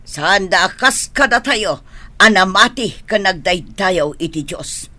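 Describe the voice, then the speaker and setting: A woman's voice: medium pitch, lively intonation, unhurried, bright, forceful tone. A woman playing a strict, disapproving, churchgoing village mother in an Ilocano comedy radio drama, with a Filipino accent and a scolding, emphatic delivery.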